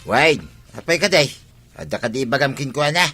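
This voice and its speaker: A man's voice: medium pitch, expressive, fairly quick, pushed and bright, husky, faint music behind. A man acting a questioning village husband in an Ilocano comedy radio drama, with an Ilocano accent. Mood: questioning, indignant.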